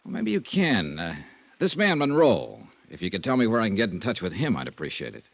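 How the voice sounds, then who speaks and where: An actor playing a wry, persistent insurance investigator in a 1950s American radio drama, with a clipped, conversational manner. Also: male voice, fairly low pitch, wildly swooping pitch, brisk, gravelly, no echo, exaggerated voice. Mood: probing. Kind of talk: 1950s radio detective drama acting and narration. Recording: dull vintage 1950s radio recording.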